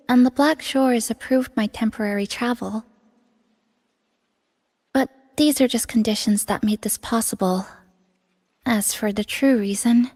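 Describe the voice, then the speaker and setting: A woman playing a gentle, reflective young woman in a video game, soft-spoken, measured and wistful. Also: fairly high pitch, long reverb tail. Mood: apologetic, earnest. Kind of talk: scripted video game character dialogue.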